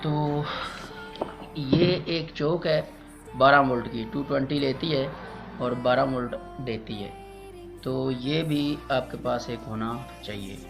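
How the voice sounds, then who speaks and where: A man's voice: medium pitch, slow, gravelly. A man giving a casual, step-by-step how-to demonstration, repeating his instructions and addressing viewers as friends. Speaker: electrician giving a tutorial. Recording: loud music behind the voice.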